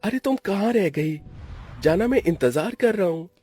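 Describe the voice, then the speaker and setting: Man putting on a high-pitched sly young lover. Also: expressive, moderate pace, mellow, breathy edge, faint music behind. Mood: impatient.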